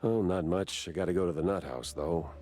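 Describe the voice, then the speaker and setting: An actor playing a world-weary, hard-boiled mouse detective with an American accent in a noir cartoon game, wry, deadpan and terse. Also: male voice, low voice, a little fast.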